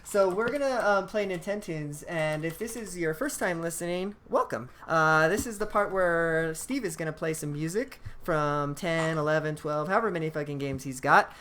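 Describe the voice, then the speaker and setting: A man with a high voice hosting a casual podcast livestream, loose and laughing, swearing now and then. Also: moderate pace, few pauses, fairly bright tone, slight background noise. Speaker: podcast host.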